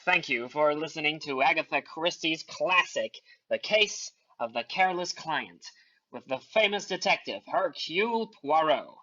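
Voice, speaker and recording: Male announcer for a theatrical audio play outro; forceful, bright, slightly high voice, dry but a bit distant. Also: animated, normal speed, no room sound, muffled recording. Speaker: announcer of an amateur theatre group.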